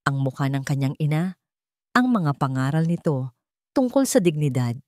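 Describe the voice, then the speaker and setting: Low-pitched woman narrating a drama. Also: very animated, normal speed, a little mellow, no echo, clean studio sound. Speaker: audio story narrator.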